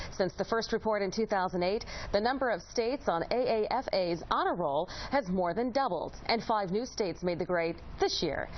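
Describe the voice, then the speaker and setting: A woman hosting a broadcast-style interview, introducing the subject and putting questions to a guest. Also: medium pitch. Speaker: interview host. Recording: dull lo-fi audio, clearly noisy.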